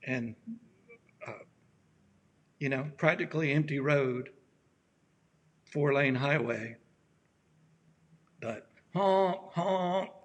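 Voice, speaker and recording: A man with a raspy voice, a pastor giving a gentle, halting sermon with many pauses, his voice worn from allergies. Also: a little high. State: allergies acting up. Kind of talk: slow reflective sermon.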